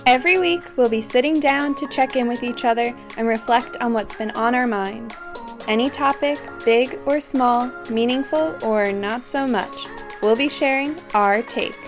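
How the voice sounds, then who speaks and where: A woman's voice: fairly high pitch, moderate pace. Woman cohost reading the show intro, upbeat, music going under it. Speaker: podcast co-host. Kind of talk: scripted podcast intro.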